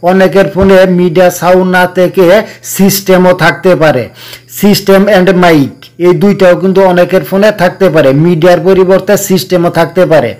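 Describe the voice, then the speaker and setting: A man's voice: sits high, fairly even intonation, a little fast, darkish tone. A man hosting a tech tutorial, explaining things casually and repeating key points. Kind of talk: casual phone tutorial narration.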